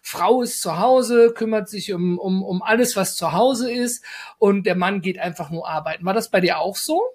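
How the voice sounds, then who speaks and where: A man's voice: high voice, normal speed, husky. A man in his thirties hosting a casual business podcast interview, talking in loose, rambling questions with many fillers. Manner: chatty, filler-heavy, loose run-on questions. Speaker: podcast host.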